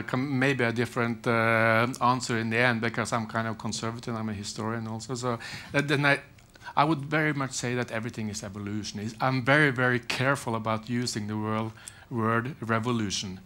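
Panelist, male, 40s, measured and halting, headset mic in a hall. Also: medium pitch, fairly even intonation, pushed and bright. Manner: measured, hesitant, many restarts. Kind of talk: conference panel remarks. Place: conference hall panel stage.

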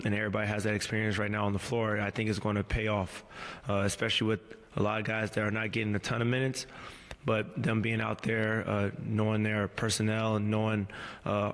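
A man in his thirties answering reporters at a postgame press conference, measured and halting, with many fillers and restarts, speaking into a table mic in a press room. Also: fairly low pitch, fairly bright tone, big-room sound. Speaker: pro basketball player at postgame press conference. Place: press room before a team backdrop. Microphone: table mic on a stand.

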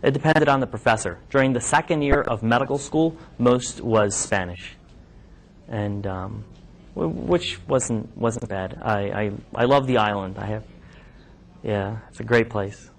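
A man in his thirties, originally from New York, chats informally with a room of medical students. His pitch sits in an ordinary middle range, and he hesitates often, circling back with ums and false starts. The sound comes from a camera across an indoor classroom lab. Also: measured pace, creaky. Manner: conversational, hesitant, with many ums and restarts. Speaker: physician speaking to medical students. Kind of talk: informal talk with questions from the audience.

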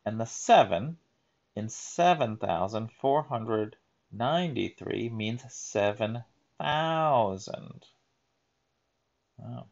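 A man reading a children's picture book aloud in a deliberate, emphatic teaching manner, adding explanatory asides. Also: mid-range voice, expressive, gravelly, darkish tone, highs cut off.

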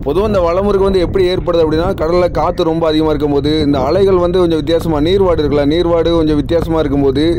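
A man's voice: fairly high pitch, fairly even intonation, very fast, hardly any pauses, background noise. A young adult man with a Tamil accent, a fisherman explaining his work to viewers in a repetitive, direct manner. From India. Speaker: fisherman vlogger. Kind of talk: explaining narration over footage.

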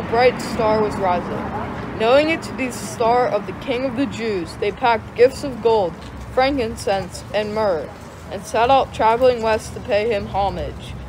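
A child reading the story of the Magi as narrator for a church nativity pageant. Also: female voice, a little high, noisy background.

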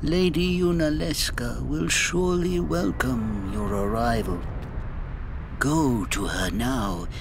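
A slow, solemn ghostly gatekeeper. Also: male voice, medium pitch, creaky, background noise. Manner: slow, formal, ceremonial. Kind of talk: video game cutscene acting.

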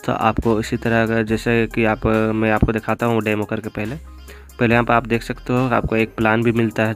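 A young man hosting a step-by-step app tutorial in an instructional, repetitive way. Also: medium pitch. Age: young adult. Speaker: tech tutorial video host. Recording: music bed behind voice. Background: background music.

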